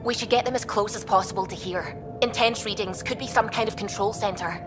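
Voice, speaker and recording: Female squad scientist, acted: mid-pitched, keen, observant game character, faint music behind. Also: brisk, close to an everyday voice. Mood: alert. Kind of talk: scripted video game acting.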